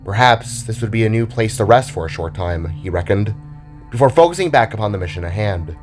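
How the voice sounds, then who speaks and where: A man reads scripted fiction as a narrator, in a dramatic storytelling style. His voice sits fairly low, and music plays behind it. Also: lively intonation, fairly quick.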